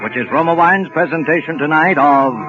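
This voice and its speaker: A man announcing a 1940s radio program in a dramatic, deliberate manner. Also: fairly high pitch, dull audio. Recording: old radio recording with loud organ music.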